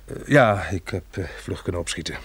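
Radio drama survivor: practical, weary farmer, husky and low, thoughtful and a little hesitant. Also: male voice, fairly quick, fairly bright tone, full character voice. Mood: grim resolve. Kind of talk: radio drama acting with diary narration.

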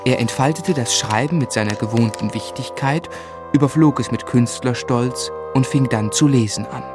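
An actor playing a composed gentleman who narrates his own story in a literary radio play. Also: mid-range voice, normal speed, music in the background, lightly acted.

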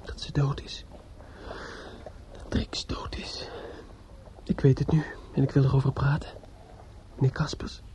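An actor playing a grief-stricken, distraught young man in a Dutch radio drama, speaking haltingly with stammers and repetitions. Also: medium pitch, completely flat delivery, warm tone, whispered, close to an everyday voice. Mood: distraught, muttering. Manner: halting, stammering, repeating himself. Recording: dull, slightly noisy old radio recording.

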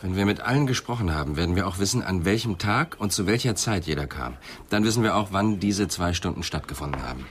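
An actor playing a serious, probing police inspector in a radio crime drama, speaking in terse questions and remarks. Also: male voice, sits low, expressive, fast talker, hardly any pauses, a little hiss or hum.

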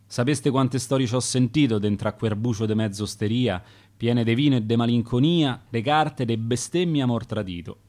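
A man's voice: mid-range voice, moderate pace, a little mellow, lightly acted. A man reading as a wistful dead Roman innkeeper. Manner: reciting dialect verse in rhyme. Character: dead old tavern keeper, wistful storyteller.

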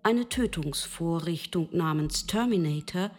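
A woman playing a lucid, patient machine in a literary radio play, lecturing the listener directly and deliberately. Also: fairly low pitch, close to an everyday voice. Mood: measured, explanatory. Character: love-capable female machine, lucid, patient, lecturing philosopher.

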